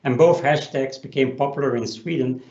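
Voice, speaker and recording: A man gives an explanatory business webinar presentation, often pausing on an uh. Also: mid-range voice, darkish tone. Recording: roomy echo, dull lo-fi sound.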